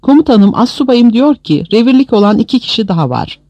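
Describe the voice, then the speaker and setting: An obedient, excited soldier, voiced by a male audiobook reader. He reports urgently and deferentially, in a high voice with lively intonation. The sound is very dry. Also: brisk, no room sound, dull audio, exaggerated voice. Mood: eager, deferential. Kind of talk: dramatized audiobook reading.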